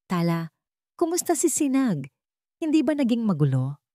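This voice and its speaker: A woman's voice: fairly high pitch, big pitch swings, normal speed, warm tone, no room sound, clean studio sound, voice pushed up. A woman voicing a kind, melancholy widowed father in an audio story, courteous and earnest. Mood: friendly.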